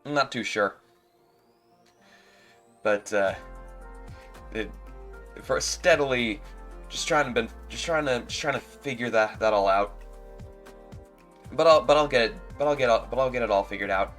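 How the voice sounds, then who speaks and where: A man with a stuffy nose talking casually on a livestream as a streamer, halting and repeating his words as he goes. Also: mid-range voice, fairly bright tone, faint music behind.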